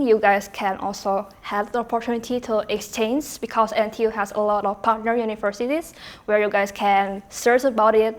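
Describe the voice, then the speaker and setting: A young woman whose first language is Indonesian, chatting casually on a student panel and often saying "like". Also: a little high. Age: young adult. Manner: chatty, fills speech with "like".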